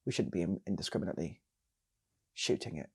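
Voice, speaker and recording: A man voicing a prim, uneasy security guard in a comic story, protesting in a hesitant, halting mutter. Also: low voice, husky, voice pushed down. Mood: uneasy, disapproving.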